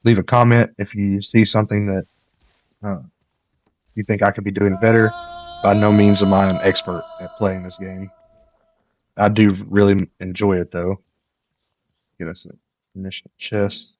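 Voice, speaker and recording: A man giving relaxed, rambling commentary on a game he plays, with a slightly nasal voice because he is coming down with a cold. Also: lowish voice, normal speed, pauses often, a little hiss or hum. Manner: relaxed, rambling, gives tips while playing. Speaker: gameplay commentator. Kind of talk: casual let's-play commentary.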